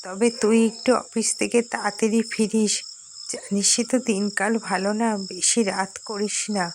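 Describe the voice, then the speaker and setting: A woman's voice: mid-range voice, flat delivery, moderate pace, close to an everyday voice, poor audio. A woman playing a worried, caring middle-aged mother who cautions her grown son in a horror cartoon. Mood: caring, concerned. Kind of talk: Bengali horror cartoon dialogue. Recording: faint noise with chirping crickets.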